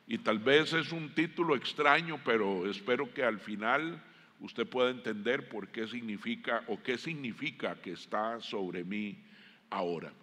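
Casting: middle-aged Costa Rican male preacher, bright forceful voice, deliberate pauses, long auditorium reverb. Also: mid-range voice. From Costa Rica. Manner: deliberate, with frequent pauses and emphatic phrasing. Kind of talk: sermon. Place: large indoor church auditorium stage. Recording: long hall reverb from stage sound system.

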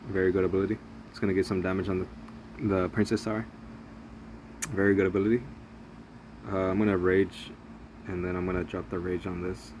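A man's voice: deep voice, background noise. A young man rambling through casual, profane play-by-play of a mobile strategy game as a gaming channel host. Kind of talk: casual gameplay commentary.

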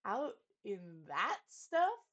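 A woman voicing an earnest, worried young page boy who calls out in a high, urgent voice. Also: huge pitch swings, extremely slow, a bit bright, heavily acted. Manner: high, urgent, alarmed calling.

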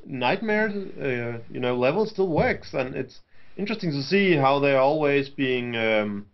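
A man's voice: medium pitch, animated, measured pace. A young man co-hosting a casual gaming chat from home over a headset mic, hesitant, with many fillers. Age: young adult. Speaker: co-host. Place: home room. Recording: dull lo-fi webcam call audio.

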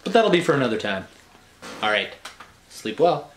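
A man's voice: mid-range voice, very animated, normal speed, fairly bright tone. A man in his forties reading picture books aloud as a bedtime storyteller, playful and theatrical, with chatty conversational asides. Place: small furnished room at home, indoors. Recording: roomy home recording with clear background hiss.

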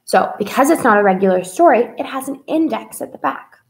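A young woman presents a children's story time in an expressive, sing-song way, as a librarian talking to kids. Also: on the high side, brisk, gravelly. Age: young adult. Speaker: children's librarian hosting a read-aloud. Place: small indoor room with whiteboard.

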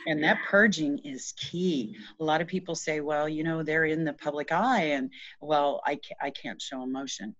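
Middle aged lady explaining stuff as a guest, pretty deep voice, kinda muffled video call. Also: moderate pace, few pauses, fairly bright tone, no echo. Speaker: interview guest, energy healer. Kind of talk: casual explanatory conversation. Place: small room at home. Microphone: video call, shared device at arm's length.

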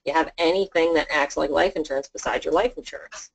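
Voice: mid-range voice, fast talker, vocal fry, muffled recording. An insurance sales trainer talking informally on a conference call, acting out snippets of client dialogue. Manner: informal, chatty, role-plays client dialogue.

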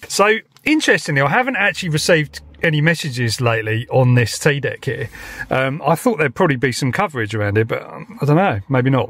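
A man's voice: fairly high pitch, a bit bright. A middle-aged man with an English accent giving casual handheld vlog commentary, informally thinking aloud. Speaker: vlogger. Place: parked car and open grassy hilltop outdoors. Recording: clear ambient noise on location.

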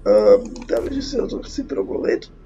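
A woman's voice: low-pitched, huge pitch swings, mellow, faint music behind. A young Czech woman giving casual, sarcastic let's play commentary, informal and sweary. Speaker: gaming YouTuber. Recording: dull lo-fi mic with faint noise.

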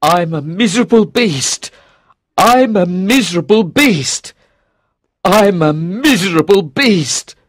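A panicked father pleading for his daughter in a high, strained voice, as a storyteller puts him on. The voice rises well above the reader's own and comes across slow and dry. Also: lively intonation, sharp tone, no echo, exaggerated voice. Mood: wailing. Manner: desperate, pleading.

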